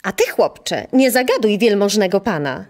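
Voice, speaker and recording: A woman's voice: mid-range voice, lively intonation, moderate pace, natural voice. A woman playing a greedy, scheming innkeeper's wife in an audio play, coaxing and bossy. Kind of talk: dramatic audio play acting.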